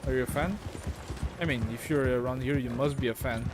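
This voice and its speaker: A man's voice: mid-range voice, fairly even intonation, brisk, noisy background. A man from Brazil chatting casually with viewers while playing a game on a livestream, rambling with many fillers. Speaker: live streamer. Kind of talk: casual gameplay livestream chat. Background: game music and horse sounds.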